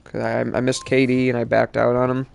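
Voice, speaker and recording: A man's voice: medium pitch, completely flat delivery, fairly quick, gravelly. A male streamer chatting casually while playing, sounding congested from allergies and rambling with lots of fillers. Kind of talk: live-stream gaming chat.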